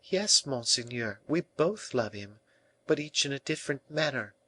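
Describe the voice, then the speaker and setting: A high, expressive young noblewoman's voice put on by a man in a period audiobook. She is timid by nature yet fiercely devoted, speaking in earnest, impassioned, formal phrases. The sound is close and dry. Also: unhurried, dry recording.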